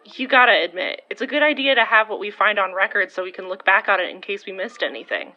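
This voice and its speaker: A woman's voice: medium pitch, animated, fast talker, lo-fi sound, a faint crowd, close to an everyday voice. A woman playing a reasonable, teasing young woman in a naturalistic audio drama. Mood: reasoning, teasing. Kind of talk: naturalistic horror fiction podcast acting.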